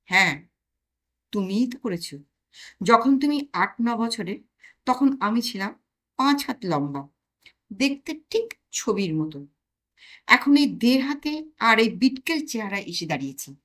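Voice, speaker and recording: A stunted, grimy little conscience from a comic audio story, played by a woman. It speaks slowly and rather high, argues stubbornly and lays blame with wounded self-pity. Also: sing-song, a bit bright, exaggerated voice. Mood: aggrieved. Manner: accusing, self-pitying, argumentative.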